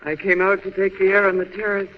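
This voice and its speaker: A gentle, worried young wife from another world speaks with earnest, questioning concern a woman acting in an old radio play. The recording is dull and muffled, with a steady hiss. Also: mid-range voice, fast, close to an everyday voice. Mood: anxious curiosity. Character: gentle, anxious alien wife and young mother. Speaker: radio drama actress. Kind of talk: 1940s radio drama acting.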